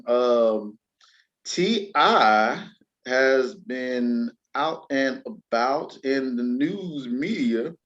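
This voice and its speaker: A man's voice: medium pitch, dull audio. A man hosting a casual entertainment news chat, talking in a slangy, colloquial, joking way. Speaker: talk show host.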